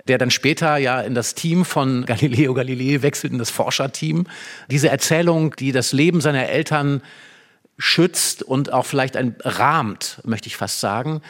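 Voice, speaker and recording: Guy talking through a drama like an expert guest, tells it vividly, repeats bits for emphasis, normal mid pitch. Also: moderate pace. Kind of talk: conversational explanation of a play.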